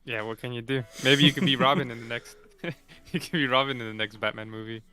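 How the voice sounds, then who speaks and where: Bright, clear-voiced easygoing man. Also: mid-range voice, steady tone, brisk, faint music behind. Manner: easygoing, explains plainly with fillers. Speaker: co-host. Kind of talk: casual podcast chat.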